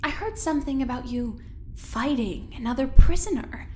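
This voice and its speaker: Female scientist, audio drama. High voice, cordial but pointed, chatty. Light background noise. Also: expressive, normal speed. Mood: friendly, probing. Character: cordial, probing female doctor, a visiting scientist.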